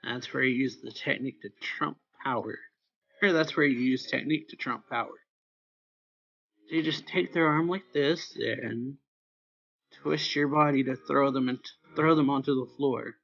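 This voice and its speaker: A man's voice: mid-range voice, moderate pace, vocal fry, fairly bright tone, dull audio. A man reading a visual novel aloud in a casual, informal way, narrating and voicing the lines as he goes. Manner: informal, stumbles and corrects misreadings.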